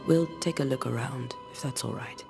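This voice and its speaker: An actor voices a courteous male ghost hunter from colonial times, earnest and direct. His voice is markedly creaky at a middle pitch. It plays as dramatic video game dialogue over faint music. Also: moderate pace, close to an everyday voice. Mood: inquiring.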